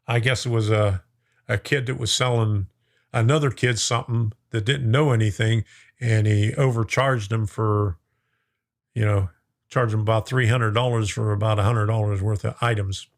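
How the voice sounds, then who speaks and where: A man's voice: fairly low pitch, fairly even intonation, moderate pace. A middle-aged man hosting a model-train live stream, chatting casually and reading viewer comments. His voice is getting worn out, and he chuckles often and greets viewers by name. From lives in Florida.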